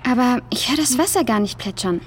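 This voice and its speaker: A woman's voice: on the high side, expressive, a little fast, a little hiss or hum, close to an everyday voice. A woman playing a sad, timid young girl in a children's audio drama. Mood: anxious.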